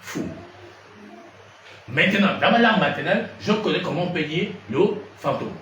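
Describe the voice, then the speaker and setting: A man's voice: a little high, normal speed, lo-fi sound. A man from Gabon preaching in to a congregation, keeps firing questions at the listeners. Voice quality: lively, questioning delivery that probes the audience. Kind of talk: sermon teaching to a congregation. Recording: echoey room from far off, noisy.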